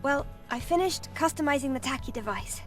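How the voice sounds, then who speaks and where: An actress playing an eager, devout young female tech engineer in a video game, expressive and earnest. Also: high voice, a little fast, distant mic, faint music behind. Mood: cheerful. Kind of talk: video game character acting.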